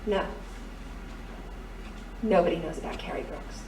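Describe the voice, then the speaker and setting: An actress playing a brassy, guarded young American dame in a noir radio drama, slangy and dramatic. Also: female voice, fairly low pitch, a little slow, vocal fry, a little mellow. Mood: guarded, wry. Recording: roomy, distant, faint background noise.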